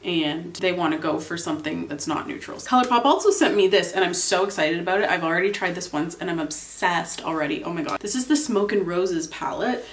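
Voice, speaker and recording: A woman's voice: on the low side, brisk, few pauses, echoey room, a little hiss or hum. A young woman from Canada, congested and nasal from a cold, chatting casually while she does her makeup. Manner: chatty, rambling, filler-heavy. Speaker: beauty vlogger.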